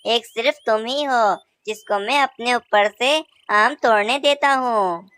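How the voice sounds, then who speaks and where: A woman's voice: on the high side, unhurried, a bit bright, smooth, no room sound. Woman doing a proud talking mango tree. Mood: friendly. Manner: pleading then haughty. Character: proud male mango tree, grows greedy. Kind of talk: cartoon voice acting.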